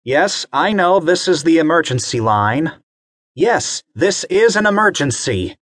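A man's voice: fairly high pitch, big pitch swings, measured pace, a bit bright, no echo, clean studio sound, pitched above the performer's own voice, exaggerated voice. A man voicing a practical mother in a raised, reassuring tone. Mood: urgent.

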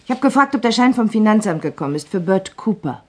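An actress playing a brisk, teasing office secretary in a German crime radio play. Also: female voice, mid-range voice, animated, slightly rough. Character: brisk, bossy but friendly female office secretary. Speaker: actor in a crime radio drama.

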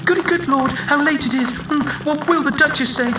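A man's voice: very high-pitched, fairly quick, over music. A man playing a fretful, flustered rabbit who mutters and scolds himself, in a dramatised children's audiobook. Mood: flustered panic. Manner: fussy, muttering, self-scolding. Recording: echoey, distant, heavy background noise.

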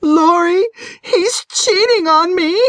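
A woman's voice: sits high, expressive, moderate pace, no room sound, pitched above the performer's own voice. A woman voicing a distraught, bitter middle-aged wife in an audiobook, with a tearful, indignant manner. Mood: tearful wailing.

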